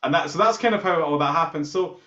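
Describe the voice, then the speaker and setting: A man's voice: a little high, fast talker, fairly bright tone. A Scottish man who plays guitar in a band, chatting casually and telling anecdotes as an interview guest. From Scotland. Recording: muffled, roomy video-call sound.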